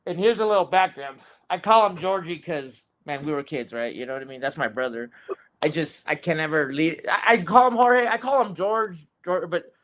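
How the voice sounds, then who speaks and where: A man's voice: fairly high pitch, moderate pace, fairly bright tone. A man in his forties hosting a casual sports podcast over a video call, rambling and stuffed with ums and uhs. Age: 40s. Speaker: podcast host.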